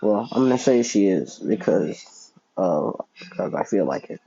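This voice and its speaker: Young man, low mellow voice, rambling fan-story narrator, dull lo-fi mic. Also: expressive, a little slow, vocal fry, husky. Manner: rambling, self-correcting, many fillers. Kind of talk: casual unscripted storytelling.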